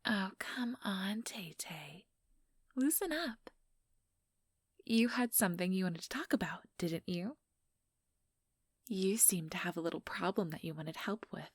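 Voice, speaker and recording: A woman voicing an ambitious, teasing vampire in an ASMR roleplay, breathy and whispery, with a sugary, mocking condescension. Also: mid-range voice, expressive, measured pace, pauses often, dry recording, clean studio sound. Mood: teasing, taunting. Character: ambitious, teasing female vampire, smugly scheming.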